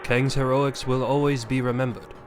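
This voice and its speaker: A man's voice: medium pitch, level tone, moderate pace, slight background noise. A man reading a dramatic scripted narration.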